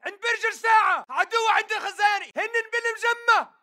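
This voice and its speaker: An actor playing an aggressive male militant fighter in a video game, shouting urgent short combat callouts in Levantine Arabic. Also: extremely high pitch, fast talker. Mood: urgent, alert. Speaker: enemy soldier voice.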